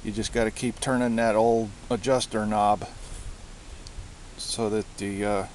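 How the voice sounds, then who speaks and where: Man, lowish pitch, casual hobbyist demo, halting and repetitive phrasing, slight hiss, amateur recording. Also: little pitch movement, a little mellow. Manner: halting, searching for words, repeating phrases. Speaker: hobbyist presenter. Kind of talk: casual product show-and-tell. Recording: hissy amateur home recording.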